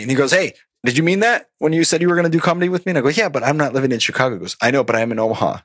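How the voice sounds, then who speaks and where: Animated man laughing through stories. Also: mid-range voice, no room sound, clean studio sound. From Colombia. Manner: animated, laughs often, acts out quotes. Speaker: podcast guest, stand-up comedian. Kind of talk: casual interview storytelling.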